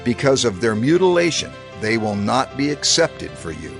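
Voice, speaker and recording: A gravelly law-giver voice, declaims every rule, lots of rise and fall. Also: male voice, medium pitch, big pitch swings, soft background music. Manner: measured, declaiming, authoritative. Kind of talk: dramatized scripture reading.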